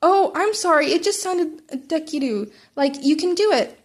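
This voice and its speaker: A friendly teenage girl hero student , performed by a woman narrator, high-pitched and eager. She sounds like a keen classmate in an amateur storybook reading. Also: animated, moderate pace. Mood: apologetic.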